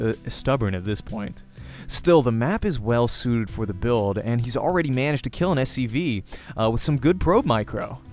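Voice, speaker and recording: A man reading a visual novel aloud as a let's-player, narrating the game story expressively. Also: medium pitch.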